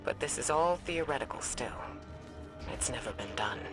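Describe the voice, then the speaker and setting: An actress playing a composed, scholarly female spymaster in a video game, speaking in a measured, deliberate, grave way. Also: extremely low pitch, lively intonation. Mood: grave, explanatory. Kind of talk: scripted video game dialogue. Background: background music.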